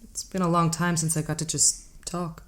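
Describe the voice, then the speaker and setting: An actress playing a mysterious, composed woman hitchhiker in an audio drama, speaking in a cryptic, measured, formal manner. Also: low-pitched, flat delivery, lightly acted. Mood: enigmatic, composed.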